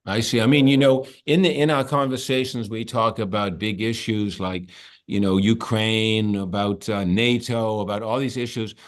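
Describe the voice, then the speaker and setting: A man hosting an interview, asking long, halting questions full of restarts. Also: lowish voice, steady tone. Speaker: interviewer and host. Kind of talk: conversational interview.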